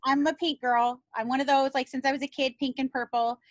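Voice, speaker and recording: A woman with a high voice chats casually on a video call, giggling and peppering her talk with "like". Her sound is close and dry, with no room in it. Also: level tone, brisk, no echo. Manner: chatty, lots of "like", giggly. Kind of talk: casual chat.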